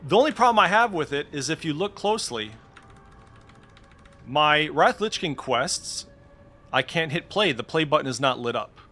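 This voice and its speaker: A man's voice: a little high, lively intonation, normal speed, pauses often, sharp tone, faint music behind. A man giving casual, informal screen-share commentary as a game streamer, swearing freely. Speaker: streamer demonstrating game add-ons.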